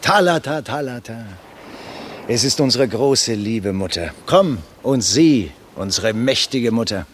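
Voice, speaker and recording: An actor playing a stout, boisterous young medical student in a theatrical, teasing 1960s German radio drama style. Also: male voice, mid-range voice, lively intonation, measured pace, a little hiss or hum, lightly acted. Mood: declamatory, teasing. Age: young adult.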